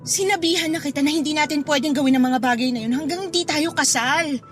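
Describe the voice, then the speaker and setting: A woman's voice: sits high, fast talker, close to an everyday voice. A woman playing a hurt but forgiving young girlfriend in a Tagalog radio drama, speaking earnestly and reproachfully. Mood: firm, serious.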